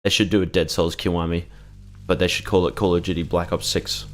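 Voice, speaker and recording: Deep-voiced joking gaming streamer. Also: male voice, little pitch movement, brisk, soft background music. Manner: joking, rambling, sarcastic asides. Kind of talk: casual stream commentary.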